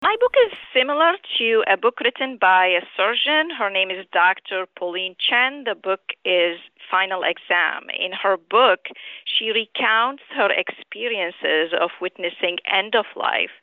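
A middle-aged woman from Syria speaks over a thin, narrow telephone line. She is a guest author answering interview questions in measured, articulate and rather formal sentences. Her pitch sits in the middle for a woman. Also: expressive, no echo. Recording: tinny narrow phone line.

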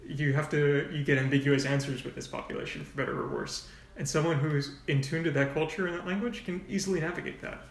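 A man's voice: a little high, fairly even intonation, quick pace, recorded from a distance. A man speaking frankly as a nonprofit volunteer coordinator in a casual podcast interview, explaining in long runs with asides. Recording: echoey room, off-mic.

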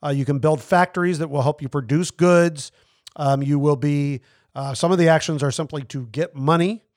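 A man's voice: fairly high pitch, steady tone, moderate pace, a little mellow, no echo, studio quality. A man hosting a casual board game review chat, explaining the game with lots of fillers and restarts. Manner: rambling, many fillers and restarts. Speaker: board game podcast host.

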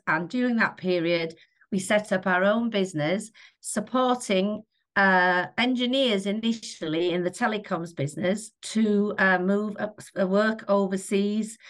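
A woman's voice: mid-range voice, fairly bright tone. A middle-aged woman, a company chief executive speaking steadily as a podcast guest. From North Wales. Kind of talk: podcast conversation.

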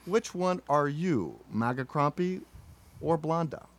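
A man's voice: a little high, lively intonation, slow pace. A man hosting a comedy podcast, asking questions in a dry, matter-of-fact way. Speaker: podcast host. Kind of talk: mock interview, dry comedy.

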